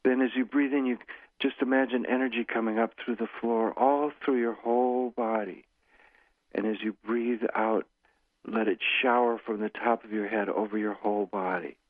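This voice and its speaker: A man in his sixties, a guest author speaking calmly and leading a slow guided meditation. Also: medium pitch, no room sound. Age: 60s. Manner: slow, soothing guiding voice. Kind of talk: guided meditation and interview talk. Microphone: phone call. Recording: tinny phone line.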